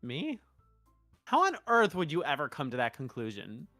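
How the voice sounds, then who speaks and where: A woman's voice: sits low, moderate pace, no echo. A woman streaming a game, switching between casual, sweary chat and read-aloud lines, with music behind her. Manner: sweary, expressive.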